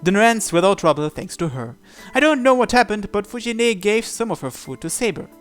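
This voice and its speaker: A man's voice: high-pitched, expressive, a little fast, a bit bright, soft background music. A man reading visual novel narration aloud as he plays, with casual asides. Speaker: video game player reading along.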